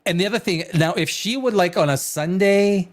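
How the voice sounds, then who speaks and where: A middle-aged man who grew up in Canada, hosting a casual talk show, rambling and animated, restarting sentences and dropping to a near whisper at times. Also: high voice, normal speed. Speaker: host.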